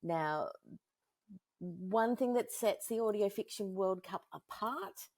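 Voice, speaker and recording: A woman's voice: mid-range voice, slow pace, darkish tone, no echo. A woman hosting a conversational interview in an encouraging, effusive manner. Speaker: interview host.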